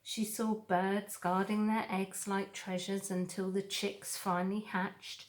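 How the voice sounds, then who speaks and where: A woman narrating a children's novel aloud, with measured and expressive storytelling. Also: mid-range voice. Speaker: storybook reader.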